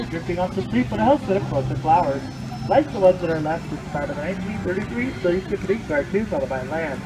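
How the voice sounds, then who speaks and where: High-voiced man giving a storybook boat tour. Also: very noisy. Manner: storybook-style spiel with playful asides. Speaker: boat ride guide. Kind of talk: scripted tour narration. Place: outdoor theme park boat ride.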